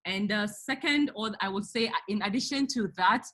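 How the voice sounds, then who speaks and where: A woman's voice: medium pitch, moderate pace, sharp tone. A woman hosting an online career webinar, giving motivational advice and putting pointed rhetorical questions to her listeners in an emphatic way. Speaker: webinar host and career speaker.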